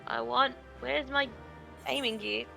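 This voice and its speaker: A woman with a creaky voice, chatting casually as she plays a video game alongside a streamer. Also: high voice, steady tone, unhurried. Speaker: stream co-player and moderator. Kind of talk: casual chat while gaming. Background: video game music.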